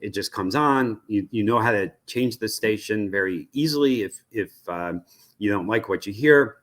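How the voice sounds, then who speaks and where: An American man speaking as an interview guest, giving conversational expert commentary in a measured way, often halting to search for the right word. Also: fairly low pitch, a bit bright. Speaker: interview guest, radio research executive.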